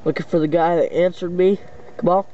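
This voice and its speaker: A man making short, somewhat halting calls as an operator on CB radio. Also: high voice, steady tone. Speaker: CB radio operator, video maker. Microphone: camera mic beside a CB radio set. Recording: dull sound, clear radio static. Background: radio static and clicks.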